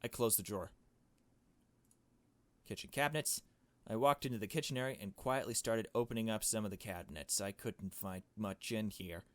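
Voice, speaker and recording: A man reading a visual novel aloud as a let's player, in hushed storytelling narration with casual asides. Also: on the low side, moderate pace, no room sound.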